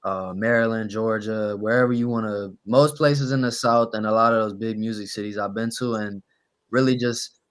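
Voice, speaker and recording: A young man from New Orleans with a raspy voice, a guest rapper talking in a laid-back, measured way with frequent pauses in a casual podcast interview. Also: lowish voice, steady tone. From New Orleans, USA.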